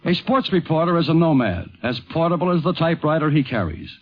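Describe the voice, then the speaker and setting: Higher-voiced 1950s radio documentary narrator man. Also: animated, fast, faint music behind. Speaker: narrator and host. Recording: dull vintage 1950s radio recording.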